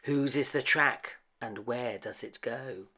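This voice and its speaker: A man's voice: medium pitch, slow, bright, forceful tone. Man putting on a bold, exclaiming monster girl. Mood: bold, excited. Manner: exclaiming, questioning. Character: young female monster child, bold and inquisitive.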